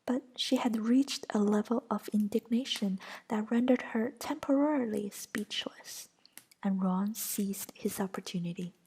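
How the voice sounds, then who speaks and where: A woman narrating a story aloud in a soft, unvoiced whisper. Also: mid-range voice, measured pace, slightly rough, poor audio. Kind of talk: whispered audiobook reading.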